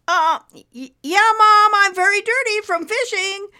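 A woman's voice: extremely high pitch, measured pace, pitched above the performer's own voice, exaggerated voice. A woman reading a storybook voices a young girl who talks urgently and insistently. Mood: nervous. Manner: urgent, excited, pleading.

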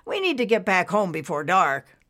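A woman's voice: on the low side, big pitch swings, moderate pace, fairly bright tone. A woman reading aloud, voicing a weary, practical older husband in a firm, matter-of-fact way. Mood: resigned. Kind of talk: storybook character voice.

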